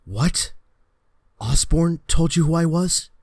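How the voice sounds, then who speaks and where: A man's voice: fairly high pitch, takes it slowly, a little mellow, no echo. A man playing an earnest, rattled young superhero in a comic book dub, disbelieving and confrontational. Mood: defiant, shaken. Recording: dry close recording, faint music behind.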